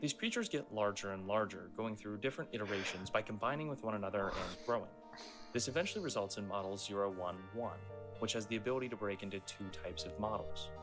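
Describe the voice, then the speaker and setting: Lowish male video-essay narrator over music. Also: fast. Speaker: narrator explaining a horror series.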